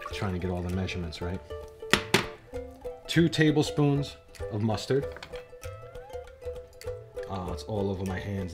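Man, middle-aged, casual cooking host, chatty and wisecracking, guitar music underneath. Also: mid-range voice. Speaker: home cooking show host. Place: home kitchen indoors.

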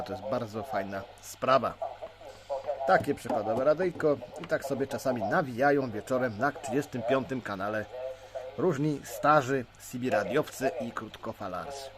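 A Polish man giving an informal, unscripted hands-on gadget demonstration as a hobbyist, explaining in a halting way. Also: mid-range voice, a crowd in the background. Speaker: CB radio hobbyist presenter.

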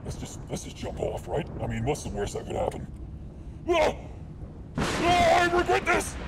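A man streaming a game live, commenting excitedly and swearing and yelping whenever danger hits. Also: very high-pitched, moderate pace, background noise. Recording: loud game music behind. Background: game music.

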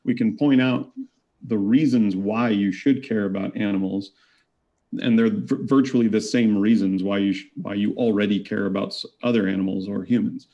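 A man's voice: lowish voice, steady tone, moderate pace, dark tone, lo-fi sound. A man arguing his side in an online debate over a video call, reasoned and argumentative. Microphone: webcam video call.

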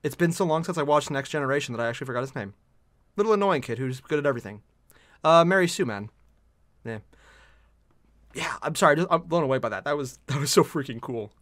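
Young man, casual reaction commentary, excitable and rambling, swooping intonation, dry close sound. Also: fairly high pitch, quick pace, long pauses, no room sound. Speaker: reaction video host. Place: small furnished room at home, indoors.

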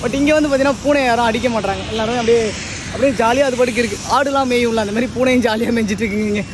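A young man talks straight to his viewers on a roadside motorcycle trip, quick and casual. His voice sits very high. Wind and traffic are clearly heard around him. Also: steady tone. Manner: chatty, addresses viewers directly, calls to animals in a high sing-song. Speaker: motovlogger. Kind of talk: casual travel vlog narration. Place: outdoors by a roadside. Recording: wind and traffic noise outdoors.

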